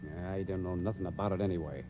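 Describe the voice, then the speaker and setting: A man's voice: low-pitched, steady tone, fast talker, noisy background, natural voice. An actor playing a crooked, defensive circus sideshow boss with slangy tough-guy talk, in 1940s radio mystery style on a muffled old recording. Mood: threatened, angry.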